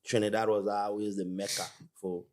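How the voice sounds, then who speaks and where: A man's voice: fairly low pitch, level tone, normal speed, darkish tone. A man from the Virgin Islands with a Virgin Islands accent, hosting a podcast in casual, joking conversation with loose Caribbean phrasing. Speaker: podcast host.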